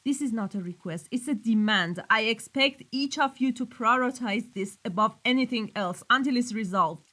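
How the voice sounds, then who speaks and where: A woman's voice: on the high side, normal speed, few pauses, bright, forceful tone. A woman playing a cold, commanding mafia boss in a scripted audio roleplay, speaking sternly and accusingly. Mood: menacing, demanding. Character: cold, menacing female mafia boss dealing in weapons.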